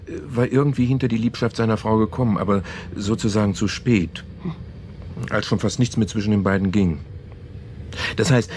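Actor playing low-voiced, halting, earnest man storyteller. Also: a little mellow, slight background noise, natural voice. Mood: earnest storytelling. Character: man haltingly retelling a novel to a sceptical woman. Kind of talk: German radio play, naturalistic conversational storytelling.

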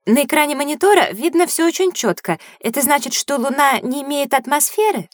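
Woman as a high-voiced, polite inquisitive child. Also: brisk, dry recording, clean studio sound, close to an everyday voice. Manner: polite, attentive, questioning. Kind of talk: children's educational audio drama acting.

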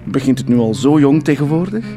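A man's voice: medium pitch, lively intonation, brisk, lightly acted. A man playing a lovestruck schoolteacher in a comic radio drama, who stammers and blurts out compulsive curses. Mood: awkward, hopeful. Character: lovestruck male schoolteacher with compulsive bursts of swearing. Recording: music behind the voice.

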